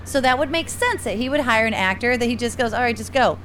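A woman co-host speaking brisk and sure in casual chat. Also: on the high side, expressive, fairly bright tone, a little hiss or hum. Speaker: podcast co-host. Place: outdoors on a busy street.

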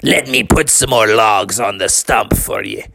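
Man putting on a hearty pirate papa bear, gravelly. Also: on the low side, measured pace, pushed and bright, no room sound, studio quality, full character voice. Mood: hearty, piratical. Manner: hearty, boastful, pirate-speak with "arr", "aye", "me hearty". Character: bragging, jolly father bear turned mock pirate dad.

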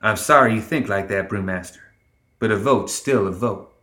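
Stern female gremlin commander read by a man, curt and sardonic, forceful edge, roomy sound. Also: on the low side, moderate pace, close to an everyday voice. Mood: disappointed. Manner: curt, begrudging.

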